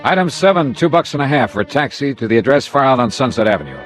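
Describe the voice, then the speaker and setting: An actor playing a hard-boiled, dogged American insurance investigator in a 1950s radio detective drama. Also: male voice, mid-range voice, very animated, quick pace, music in the background, close to an everyday voice. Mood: wry, clipped narration. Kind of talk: 1950s radio detective drama, first-person narration and dialogue.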